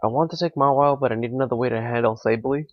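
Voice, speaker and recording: A young man, slightly hoarse and raspy from being sick, giving live commentary on a competitive game and thinking out loud with frequent fillers. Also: medium pitch, very fast, dry recording, dull audio. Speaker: gaming commentator.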